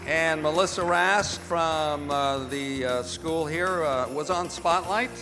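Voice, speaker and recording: A man's voice: a little high, slow. A man with an American accent announcing in the style of an old-time radio show, reading out credits and sponsor messages. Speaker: announcer and director of a radio theater. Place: performing arts center stage. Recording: echoey hall, long reverb, music bleeding in. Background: music.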